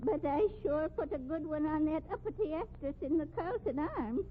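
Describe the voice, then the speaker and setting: An actress playing a superstitious, grudging old flower seller with uneducated street speech in a 1950s radio drama. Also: female voice, high-pitched, expressive, moderate pace, phone-quality sound. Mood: indignant. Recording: band-limited old radio recording, faint noise.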